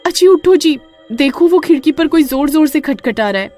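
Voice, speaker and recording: A woman voicing an excitable, easily frightened young village wife who speaks deferentially to her husband in a horror story. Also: sits high, moderate pace, faint music behind, pitched above the performer's own voice. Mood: frightened, alarmed. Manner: exclaiming, addresses husband with wifely deference. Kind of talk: dramatised Hindi horror story.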